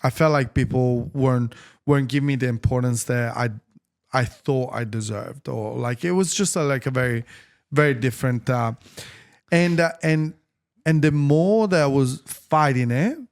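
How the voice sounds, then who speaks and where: A man with an Italian accent chats loosely in an interview, circling back and restarting his sentences. His voice sits at an ordinary middle pitch, and he keeps talking with animated energy. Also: moderate pace. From Italy. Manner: rambling, many restarts and fillers, animated. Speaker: tailor being interviewed. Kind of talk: casual interview conversation.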